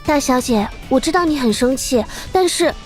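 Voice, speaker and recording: High-voiced, deferential young maid. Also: female voice, expressive, normal speed, soft background music. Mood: anxious. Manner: anxious, deferential. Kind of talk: animation voice acting.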